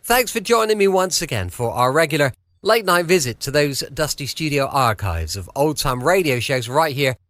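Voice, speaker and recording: A man's voice: a little high, animated, moderate pace, a bit bright, no room sound. A British man hosting a podcast with a chatty, reassuring, rambling introduction. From southwest of the United Kingdom. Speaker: podcast host. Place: at home, dry close recording.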